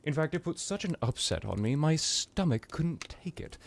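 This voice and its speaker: A man's voice: fairly high pitch, big pitch swings, gravelly. A man playing a haughty, centuries-old vampire in a roleplay monologue, pompous and dramatic, talking to the listener in a rambling, wry way. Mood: indignant, dramatic. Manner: pompous, dramatic, rambling with pauses and whispered asides.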